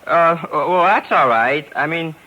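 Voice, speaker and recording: A man's voice: on the high side, bright, forceful tone, lo-fi sound. A man playing a simple, timid, eager carnival knife thrower who stammers and hesitates, in 1950s radio drama style. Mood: hopeful, sheepish. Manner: hesitant, stammering, slow-witted. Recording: vintage 1950s radio broadcast.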